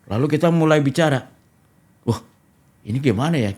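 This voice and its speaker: A middle-aged Indonesian man hosting a talk show, telling stories in an animated, casual conversational way. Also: a little high, fairly quick.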